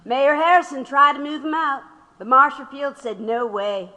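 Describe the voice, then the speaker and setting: A woman's voice: sits high, normal speed, sharp tone. A woman playing a defiant, wry activist under interrogation in an audio drama, firm and sardonic. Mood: bitter, firm. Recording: long echoing room reverb.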